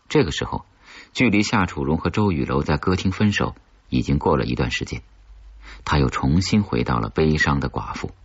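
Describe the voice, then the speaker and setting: A man's voice: a bass voice, breathy edge, dull audio. A man reading a suspense novel aloud as a scripted audiobook, with dramatic storytelling delivery. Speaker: audiobook narrator.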